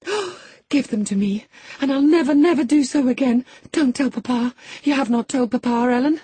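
A woman voicing a distraught young girl, pleading breathlessly in a high voice. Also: measured pace, darkish tone, breathy edge, no echo.

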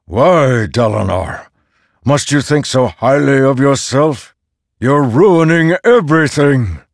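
A man's voice: sits low, slow, darkish tone, slightly rough, dry recording, studio quality, full character voice. A man voicing an ancient godlike storm spirit in a fantasy audiobook, stern, imperious and accusatory. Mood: furious. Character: thundering, ancient, godlike storm spirit, male, domineering and disapproving. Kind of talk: dramatic fantasy audiobook character.

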